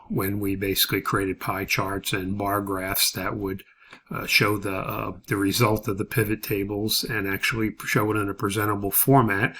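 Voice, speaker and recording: A man's voice: on the low side, a bit bright, slight background noise. A man teaching as a college instructor, explaining assignment steps in an informal, matter-of-fact, methodical lecture.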